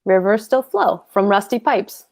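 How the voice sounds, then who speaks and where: A woman's voice: medium pitch, expressive, measured pace, vocal fry. A woman reading a smug, philandering married landlord in a play, speaking in a blunt, coaxing, suggestive way. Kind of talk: play table read.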